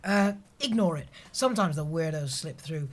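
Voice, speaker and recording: An actor with a British accent playing an earnest, pedantic, soft-hearted office worker in an audio comedy. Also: male voice, a little high, animated, normal speed. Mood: reassuring.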